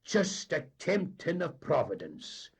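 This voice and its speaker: A man's voice: on the high side, sing-song, slow, creaky, husky, pitched above the performer's own voice, heavily acted. A man doing a coaxing Scottish boat mate. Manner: coaxing, persuasive.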